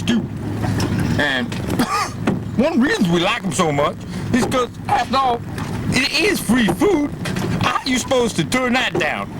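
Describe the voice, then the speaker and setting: A man's voice: very high-pitched, exaggerated voice. A man voicing a folksy old fisherman who rambles and chuckles while showing off his crew, recorded aboard a boat. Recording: outdoor boat noise behind.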